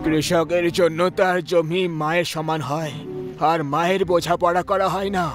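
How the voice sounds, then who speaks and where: A man voicing a stubborn, dignified old farmer who speaks slowly and defiantly in a story drama. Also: a little high, flat delivery, close to an everyday voice. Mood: firm. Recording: music behind the voice.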